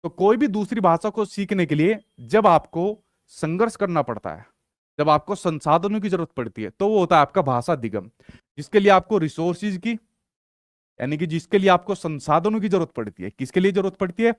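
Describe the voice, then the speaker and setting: A man's voice: sits high, lively intonation, normal speed, no echo, studio quality. Hindi-speaking male teacher, repeats himself. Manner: repetitive, rhetorical questions answered himself. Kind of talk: online exam-prep lecture.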